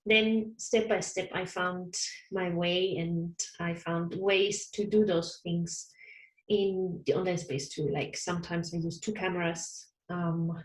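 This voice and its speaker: German-native woman chatting about her work, soft and hesitant, bit of room echo, over a video call. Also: lowish voice, steady tone, slow. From Austria. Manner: soft-spoken, hesitant, laughs often. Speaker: online counsellor, guest. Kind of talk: casual interview conversation. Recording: roomy echo.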